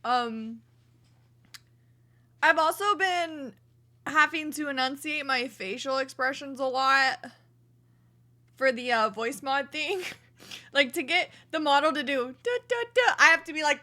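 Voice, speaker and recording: A hoarse woman streamer chatting casually to her livestream in a chatty, self-deprecating way from a room at home. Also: sits high, pushed and bright.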